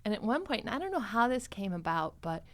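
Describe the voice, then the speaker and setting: A woman's voice: medium pitch, sing-song, fast. Woman chatting casually on a podcast. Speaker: podcast host. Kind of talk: casual chat about a show.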